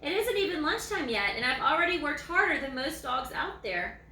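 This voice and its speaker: A woman's voice: high-pitched, big pitch swings, moderate pace, sharp tone, roomy sound, far from the mic, heavily acted. A woman voicing a lazy, self-important old male ranch hound dog from a storybook, in a folksy, self-satisfied way.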